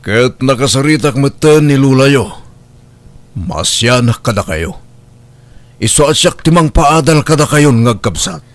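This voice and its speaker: A benevolent male employer played by a man talks in a medium-pitched voice with an Ilocano accent. He is concerned and reassuring, recorded close and dry for an audio drama. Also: lively intonation, measured pace, long pauses, no room sound, lightly acted.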